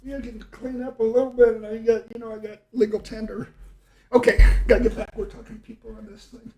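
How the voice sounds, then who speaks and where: A man's voice: very high voice, little pitch movement, brisk, mellow. A middle-aged man in casual conversation, reminiscing and telling stories in a rambling way, often trailing off mid-thought. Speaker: podcast host and storyteller.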